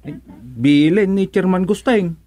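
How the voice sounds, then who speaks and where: A man's voice: high voice, animated, measured pace, mellow. An actor playing a flustered comic village errand man in an Ilocano radio comedy drama.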